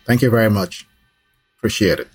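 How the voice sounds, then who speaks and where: A middle-aged man from Nigeria, a podcast guest recounting his life in relaxed talk full of "you know". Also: on the low side, brisk, soft background music. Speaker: guest, small business owner. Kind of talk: conversational life story.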